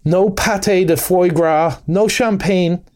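A man reading aloud voices a cheery, bustling male water rat who talks in a brisk, hearty, encouraging way. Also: on the high side, animated, warm tone, lightly acted. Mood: teasing. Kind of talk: storybook reading.

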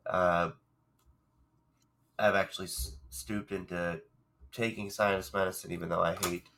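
Congested low-voiced man, rambling. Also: little pitch movement, a little slow, vocal fry, a bit bright. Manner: rambling, self-deprecating, restarts often. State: congested and hoarse from a cold. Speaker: podcast co-host. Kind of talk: casual chat.

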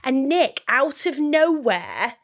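A woman chatting casually and gossiping as a podcast host, sweary and candid, telling stories in an animated, dramatic way. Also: high-pitched, takes it slowly. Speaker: pantomime performer.

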